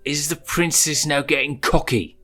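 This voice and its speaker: A man's voice: fairly high pitch, normal speed, pushed and bright, soft background music, pitched above the performer's own voice. A man voicing a sulky, hot-tempered young male lion cub, indignant and mocking, in a storybook reading. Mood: angry, taunting.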